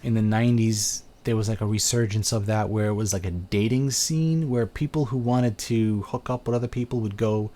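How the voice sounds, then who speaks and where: A man's voice: fairly low pitch, fairly even intonation, normal speed, few pauses, warm tone. A middle-aged man from Brooklyn with a New York accent, chatting casually on a webcam and answering viewer questions. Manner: chatty, rambling, thinks aloud with fillers. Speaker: host of a live video chat.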